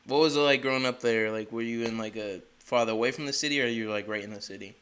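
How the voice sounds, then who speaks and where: A nineteen-year-old man from Delaware interviewing a rapper in a rambling, excitable way, full of "like". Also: mid-range voice, quick pace. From Delaware, USA. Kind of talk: casual interview chat.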